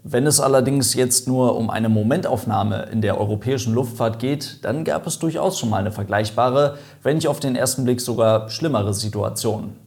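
A man's voice: mid-range voice, moderate pace, vocal fry, few pauses, a little mellow. A man hosting an online aviation video, explaining events in a chatty, lightly joking way. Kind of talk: casual explainer narration.

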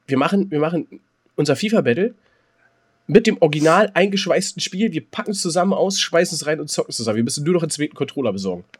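A man with a husky voice, chatting casually and excitably as a podcast co-host, often repeating himself. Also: a little high, racing.

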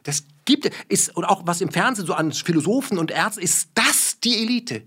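A man's voice: high-pitched, expressive, moderate pace, pushed and bright, breathy edge. A man from Germany, talking in a heated conversation with emphatic rhetorical questions and blunt swearing.

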